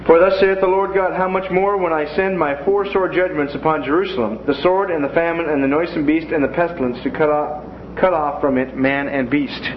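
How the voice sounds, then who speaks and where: A man's voice: on the high side, fairly even intonation, brisk, few pauses. A man teaching a Bible class in a classroom in a folksy way, with joking asides. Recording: dull lo-fi classroom recording with faint noise.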